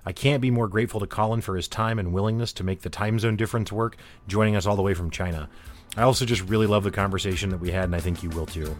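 A man's voice: lowish voice, fast talker, soft background music. A man hosting an interview podcast, speaking in a conversational presenter style. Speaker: podcast host. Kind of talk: conversational podcast interview with scripted-sounding introduction.

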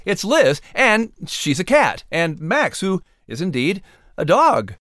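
Male announcer-host for a playful story podcast: expressive swooping delivery, slightly high voice, close studio sound. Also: takes it slowly, no room sound. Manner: animated, theatrical. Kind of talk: playful scripted hosting.

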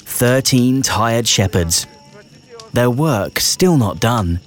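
A man narrating a rhyming children's bedtime story in a measured storytelling delivery with deliberate pauses. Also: mid-range voice, lively intonation. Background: festive music and sound effects.

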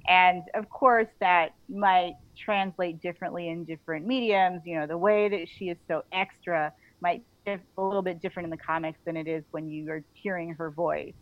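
A woman being interviewed on a casual podcast, telling stories in an animated way and acting out bits of dialogue. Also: fairly low pitch, moderate pace, hardly any pauses, dry recording, lo-fi sound. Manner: animated storytelling, quotes imagined dialogue, giggly. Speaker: author, interview guest.

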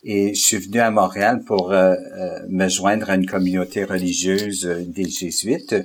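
A man's voice: sits low, flat delivery, moderate pace, slight background noise. A man in his fifties from Alberta with a Canadian French accent, a Jesuit guest telling his story in an interview. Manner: reflective, recounting with rising animation.

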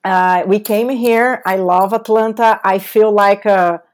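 Brazilian lady on a podcast, slow and halting, lots of uhs, portuguese accent. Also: medium pitch, steady tone. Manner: slow, halting, with frequent fillers. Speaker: guest. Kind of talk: podcast interview.

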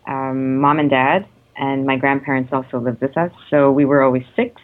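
A woman in her thirties from Canada, a guest actress answering interview questions in a reflective way with many pauses and ums. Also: a bass voice, steady tone. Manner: warm, hesitant, lots of ums. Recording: tinny phone-like line.

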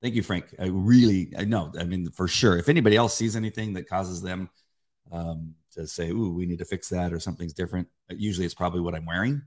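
A man's voice: low voice, fast, a little mellow. A man co-hosting a faith podcast live-stream in a loose, rambling chat, often stopping and restarting, on a desk microphone. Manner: rambling, stops and restarts, chuckles. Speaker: podcast co-host.

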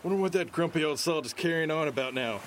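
An actor playing a worn-out sailor who mutters complaints to himself in a seafaring audio drama. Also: male voice, fairly high pitch, lively intonation, quick pace, noisy background, close to an everyday voice. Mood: grumbling. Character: grumbling, worn-out sailor on lookout duty.

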